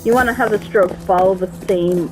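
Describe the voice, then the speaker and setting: A woman's voice: mid-range voice, fairly quick, rough recording. Young woman chatting, faint music behind. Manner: chatty, opinionated critiquing. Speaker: reaction show commentator. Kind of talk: casual reaction commentary.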